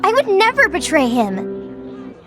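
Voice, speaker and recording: A woman's voice: extremely high pitch, wildly swooping pitch, slow, music in the background, full character voice. A woman voicing a spirited, fiercely loyal young woman in an anime-style game, speaking earnestly and with indignation. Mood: indignant. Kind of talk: anime game cutscene acting.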